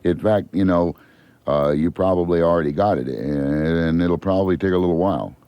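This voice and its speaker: A man's voice: deep voice, normal speed, warm tone, smooth, no echo. An American man co-hosting a morning radio show, easygoing and rambling, a little fluey. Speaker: radio co-host. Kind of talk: bantering morning radio chat.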